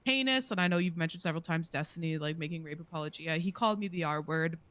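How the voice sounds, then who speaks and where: A woman's voice: low voice, quick pace, a bit bright. Impassioned woman streamer, rambling. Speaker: panel host. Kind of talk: casual panel chat on a stream.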